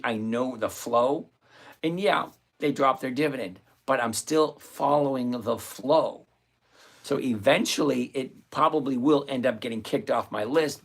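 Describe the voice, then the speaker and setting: Gravelly-voiced man hosting a trading stream. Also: medium pitch. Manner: chatty, thinks out loud, answers viewers by name. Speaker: livestream host and options trader. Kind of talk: live-stream trading commentary, reading chat aloud. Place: home trading desk with several screens.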